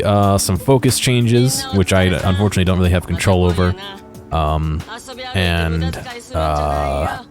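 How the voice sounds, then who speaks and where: A man giving casual, unscripted video game commentary in a hesitant, halting way. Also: sits low.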